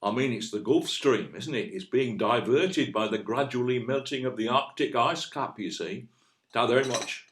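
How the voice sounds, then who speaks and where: An actor playing a chatty, earnest, down-to-earth English know-all in an audio play, with a colloquial, mildly pedantic manner. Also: male voice, medium pitch, moderate pace, fairly bright tone, roomy sound, close to an everyday voice. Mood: matter-of-fact.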